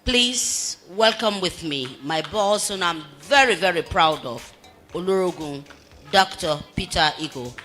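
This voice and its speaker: A woman hosts a conference as master of ceremonies. She talks slowly, with a bright tone, and pauses on fillers while introducing speakers. Faint music plays behind her. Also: fairly low pitch, lively intonation. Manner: slow, with fillers and restarts. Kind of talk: event hosting.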